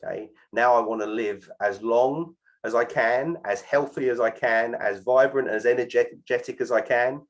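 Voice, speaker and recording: A man around forty giving an energetic sales presentation on a video call, tagging "right?" onto his sentences. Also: medium pitch, moderate pace, hardly any pauses. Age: 40s. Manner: keeps adding "right?" to sentences, lively and persuasive. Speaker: host of an online presentation.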